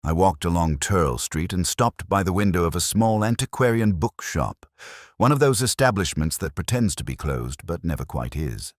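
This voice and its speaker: A very low-voiced, melancholy older retired inspector acted by a man narrates a literary detective story. His delivery is measured and erudite, with lively rises and falls and a wry, wistful turn. The sound is close and dry. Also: no echo. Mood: wistful, brooding. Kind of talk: literary first-person detective drama monologue.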